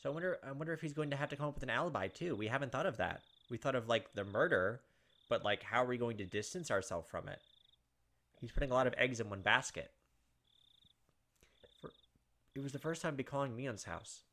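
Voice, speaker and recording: A man's voice: medium pitch, fast talker, long pauses, a bit bright. A man reading a visual novel aloud in a dramatic first-person way, with casual asides as a gaming host. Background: game music.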